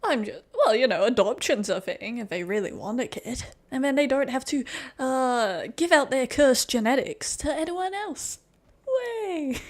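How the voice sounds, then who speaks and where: A woman streaming a game, chatting casually with a friend in an excitable, jokey, sweary way. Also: high voice, moderate pace. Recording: music behind the voice. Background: music.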